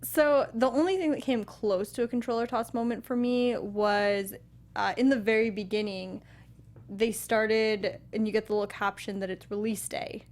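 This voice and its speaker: A woman's voice: a little high, fairly even intonation, normal speed. A woman chatting casually as co-host of a film review show. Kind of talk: casual chat.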